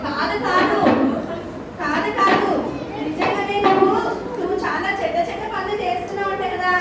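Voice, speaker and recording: A woman's voice: very high-pitched, fairly even intonation, normal speed. Woman, children's show host, playful exclaiming delivery, far from mic, heavy hall echo. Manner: questioning, exclaiming, repeats phrases to children. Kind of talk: playful interactive storytelling for children. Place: large hall with audience of children. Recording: very echoey noisy hall, distant, crowd.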